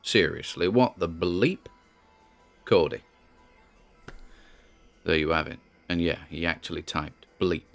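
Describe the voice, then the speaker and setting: A man's voice: low voice, slow pace, creaky, long pauses, a bit bright, soft background music, full character voice. A man playing a nervous, wry grandson who records audio logs, in a conversational, musing audio drama monologue.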